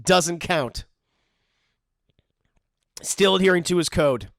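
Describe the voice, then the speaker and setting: A man's voice: sits high, slow pace, creaky, dry recording, studio quality. A man casually joking through a cartoon episode commentary, often mock-voicing the characters. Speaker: commentary host.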